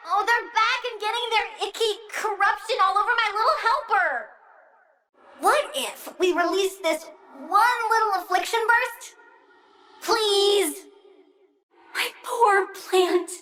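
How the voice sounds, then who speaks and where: Very high bright little plant girl villain, bratty n blunt, kinda creepy vengeful, video game character. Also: heavily acted. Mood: annoyed, urgent. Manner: petulant, blunt, swinging from chirpy to menacing.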